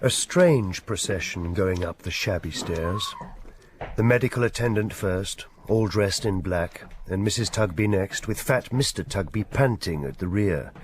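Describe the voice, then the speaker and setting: An actor playing a sardonic storybook narrator in a radio drama, with a husky voice and dramatic delivery. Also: male voice, low-pitched, measured pace, a little hiss or hum.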